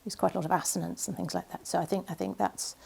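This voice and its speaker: Hesitant woman poet, gravelly voice. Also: fairly low pitch, fairly even intonation, fast talker, darkish tone, slight background noise. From Wales. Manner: hesitant, many fillers and restarts. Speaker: poet being interviewed. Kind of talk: casual interview chat.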